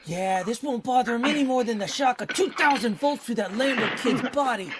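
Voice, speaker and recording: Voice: medium pitch, fairly quick, very noisy, natural voice. An actor playing a tough, ruthless young lawyer in an old-time radio drama, speaking in a blunt and menacing way. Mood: cruel, furious. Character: hard-boiled, ruthless young lawyer named Neil.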